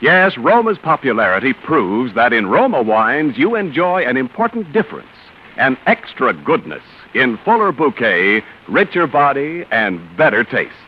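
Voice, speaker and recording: A man delivering a deliberate, emphatic 1940s radio sales pitch as a commercial announcer. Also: very animated, slight background noise. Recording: muffled old radio recording.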